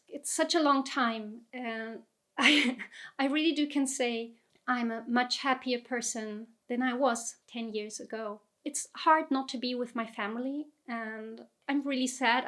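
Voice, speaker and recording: A woman, her voice sitting a little on the high side. She talks in a chatty, reflective vlog manner and pokes fun at herself now and then. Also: measured pace. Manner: chatty, self-deprecating, laughs often. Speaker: vlogger.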